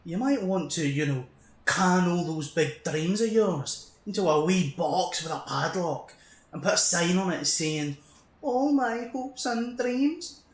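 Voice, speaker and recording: An actor playing a defiant military commander with a Scottish accent, brash, sardonic and commanding, in an audio drama. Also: male voice, high voice, expressive, a little slow, echoey room. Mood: mocking. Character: gruff, defiant military commander, combative and mocking.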